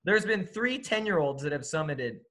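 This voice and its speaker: A man's voice: fairly high pitch, big pitch swings, normal speed, a bit bright. A man co-hosting a casual podcast, playful and self-mocking while reading trivia. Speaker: podcast co-host.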